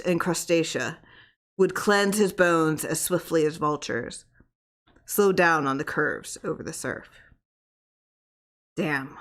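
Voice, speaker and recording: Low-pitched woman narrating horror. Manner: expressive, suspenseful. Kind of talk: dramatic scripted horror story narration.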